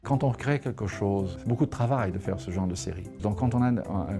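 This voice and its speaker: A man with a fairly low voice speaks in an interview. He talks unscripted, as someone who creates animation. Music plays clearly underneath him. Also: brisk, dark tone.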